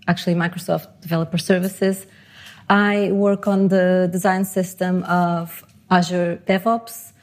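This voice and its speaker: Low-voiced woman giving a conference talk. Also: darkish tone. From Portugal and Panama. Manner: conversational, self-deprecating, with restarts. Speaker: conference speaker.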